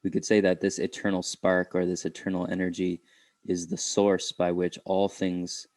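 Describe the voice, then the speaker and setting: Guy doing guided meditation, deep and really monotone, pauses a lot, thinking as he goes. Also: no echo. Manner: reflective, halting phrasing with pauses.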